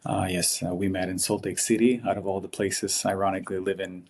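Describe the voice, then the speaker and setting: A man from Ukraine with an Eastern European accent, speaking earnestly and haltingly as an interview guest. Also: sits low, little pitch movement, a little mellow. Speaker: podcast guest, investment fund partner. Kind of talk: casual interview talk.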